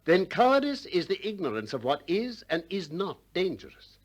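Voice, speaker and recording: A courteous but relentless philosopher in a radio play. His pitch swings widely through measured, articulate questions. He presses each point with insistent care. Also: very animated. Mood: pressing. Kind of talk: 1960s radio drama acting of philosophical dialogue.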